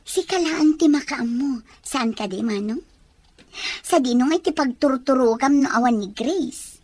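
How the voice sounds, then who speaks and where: A woman's voice: high voice, unhurried, darkish tone, dry recording. A woman voicing a scheming young woman who teases and pries with sly questions, in an audio drama. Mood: teasing. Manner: teasing, prying questions with sly laughs. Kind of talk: Ilocano radio-style drama acting. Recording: faint music behind.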